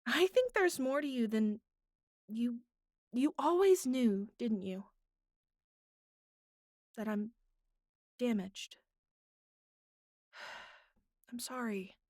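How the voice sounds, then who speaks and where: A woman playing a bratty but vulnerable young captive in an intimate audio roleplay, speaking haltingly with pleading, pouty turns and soft breathy asides. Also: a little high, dry recording, studio quality. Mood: sad, fragile.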